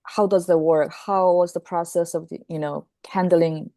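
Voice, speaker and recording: A woman interviewer with a low, mellow voice asks questions slowly and keeps nodding along with small sounds of agreement. The recording is dry and close, with no room in it. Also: steady tone, no room sound. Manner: encouraging, frequent backchannel murmurs. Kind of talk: conversational interview.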